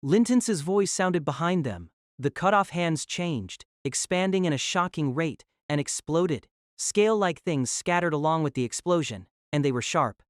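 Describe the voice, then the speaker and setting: A man's voice: on the high side, lively intonation, normal speed, dry recording, clean studio sound. A man narrating a fantasy novel as a dramatic, scripted audio drama.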